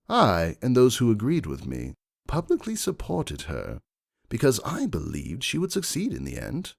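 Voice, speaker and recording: A man plays a shrewd aristocratic unicorn stallion narrating a dramatic fantasy story. The voice is very low with a gravelly creak, measured and refined in manner, with highly animated intonation. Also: heavily acted. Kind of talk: first-person dramatic fan fiction narration.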